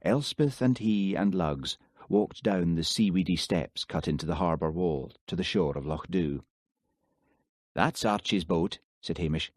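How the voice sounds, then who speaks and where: A man reading an audiobook in calm, steady scripted narration. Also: low-pitched, moderate pace, dry recording, clean studio sound.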